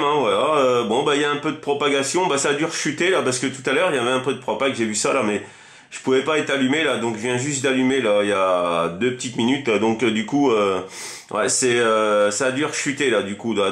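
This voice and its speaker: A man's voice: mid-range voice, fairly bright tone. A man in a casual CB radio chat, speaking in a rambling, repetitive way as a hobby operator. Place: indoors at a fixed home radio station. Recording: roomy echo around the voice.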